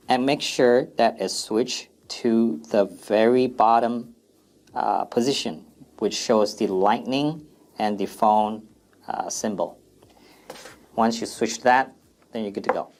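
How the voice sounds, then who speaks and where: A man's voice: medium pitch, slight background noise. A man presenting an informal product FAQ demonstration in a plain, step-by-step instructional way.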